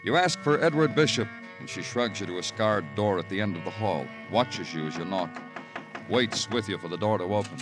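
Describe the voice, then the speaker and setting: An American man playing a world-weary police detective, narrating a vintage radio crime drama in a poetic, deliberate, hard-boiled way. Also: on the low side, animated, close to an everyday voice. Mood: brooding, lyrical. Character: brooding, world-weary male New York police detective. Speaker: narrator and lead actor. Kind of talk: 1940s-50s radio crime drama acting. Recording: old radio recording with music behind.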